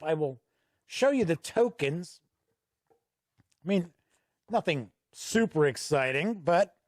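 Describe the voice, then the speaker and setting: A man giving a casual product walkthrough as a reviewer, conversational and halting with frequent pauses. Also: on the high side, darkish tone, no room sound, clean studio sound. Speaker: tabletop game reviewer and host.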